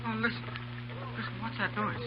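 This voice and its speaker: An anguished young soldier with weak nerves. His pleading voice sits extremely high, in a vintage radio drama with heavy background noise and muffled sound. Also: big pitch swings, brisk. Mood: defensive, edgy. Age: young adult. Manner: pleading, overwrought. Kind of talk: 1930s radio drama acting. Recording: noisy, dull old radio recording.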